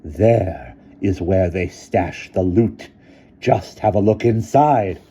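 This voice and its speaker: A man voicing a hysterical, shrill older headmistress in a screeching falsetto, read from a storybook. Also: takes it slowly, dark tone, dull audio, slight background noise. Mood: triumphant.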